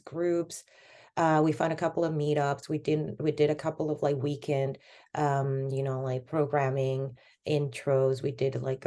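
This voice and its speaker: A woman's voice: low voice, mellow. A woman in her thirties from Venezuela, a podcast guest talking casually about her career, full of fillers. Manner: rambling, many fillers like "like" and "you know". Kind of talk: casual conversational interview.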